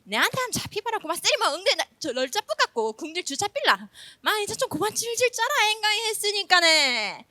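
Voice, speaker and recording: A brash woman fires off blunt, rapid scolding in a heavy Gyeongsang accent, as a woman puts her on. The voice sits high and swings widely. It sounds bright and sharp. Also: very animated, no echo, heavily acted. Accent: Gyeongsang dialect.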